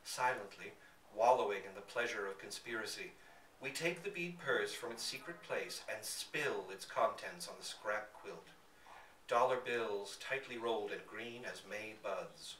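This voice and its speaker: A man reading a literary story aloud to listeners in a bookstore, with theatrical, deliberate phrasing. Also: lowish voice, lively intonation, fairly bright tone. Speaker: reader at a live literary reading. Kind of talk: expressive scripted story narration. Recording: echoey room, distant mic, faint background noise.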